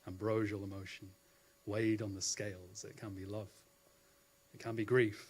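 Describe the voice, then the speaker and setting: A man's voice: lowish voice, little pitch movement, moderate pace, darkish tone, husky. A man performing spoken-word poetry as a poet at a live event, with an effusive, florid and theatrical delivery.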